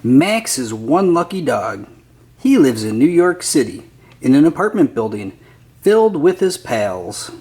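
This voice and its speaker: A man's voice: a little high, sing-song, measured pace, a little mellow. A man reading a children's picture book aloud as a scripted narrator.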